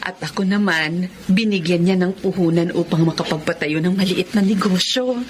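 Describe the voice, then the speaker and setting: A woman playing a gentle, pious and grateful mother in a Filipino radio drama, with a Filipino accent. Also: fairly low pitch, normal speed, a little hiss or hum, close to an everyday voice. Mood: grateful, moved.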